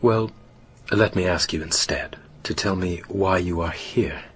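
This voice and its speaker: A man playing a formal, careful psychotherapist who probes with measured pauses in a naturalistic radio drama. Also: low-pitched. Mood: probing. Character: measured, probing American male psychotherapist. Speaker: actor in a radio play.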